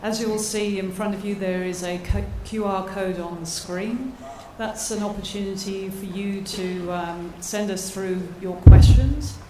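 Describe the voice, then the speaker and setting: Australian woman host, formal and measured; far from mic, echoey room, noisy. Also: medium pitch. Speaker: event host. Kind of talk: formal announcement. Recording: echoey hall, distant mic.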